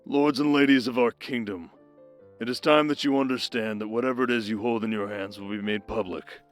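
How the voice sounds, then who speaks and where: A man's voice: medium pitch, fairly even intonation, a little fast, soft background music, lightly acted. A man playing a wry, authoritative baron with cold menace and formal speech in an audio roleplay drama. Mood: cold, commanding. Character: commanding, sardonic baron, coldly menacing schemer husband.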